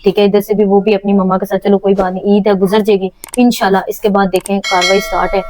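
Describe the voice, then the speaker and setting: Very fast-talking young Pakistani woman, emphatic. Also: medium pitch, flat delivery, a little mellow, no echo. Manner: emphatic, repeats herself. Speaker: interview guest. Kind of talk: defensive, informal interview talk.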